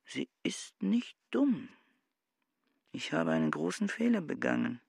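A pedantic, reclusive scholar around forty in a radio drama, thinking aloud in a stiff, formal way. He talks slowly with gravel in his voice and a lively melody. The sound is dry and close. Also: on the high side, dry recording, full character voice. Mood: brooding. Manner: stiff, formal, brooding inner monologue. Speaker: actor playing Peter Kien. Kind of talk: radio play acting.

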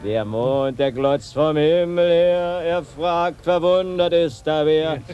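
A man's voice: on the high side, a little mellow, lo-fi sound, faint music behind. An actor playing a boisterous, tipsy village man declaiming homemade comic verse in a German radio drama. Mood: rowdy, mock-poetic. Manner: drawn-out, declamatory. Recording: radio play with crowd noise behind. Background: crowd of companions.

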